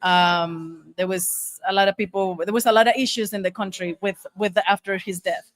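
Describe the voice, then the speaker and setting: A woman's voice: mid-range voice, steady tone, normal speed, no room sound. Middle-aged native Spanish-speaking woman, dry sound. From Monterrey, Mexico. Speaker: guest, taco shop owner. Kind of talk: casual conversational storytelling.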